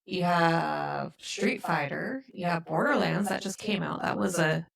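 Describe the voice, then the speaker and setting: A middle-aged woman with a gravelly, creaky voice, chatting casually as a podcast host. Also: on the low side, unhurried. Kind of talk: casual chat.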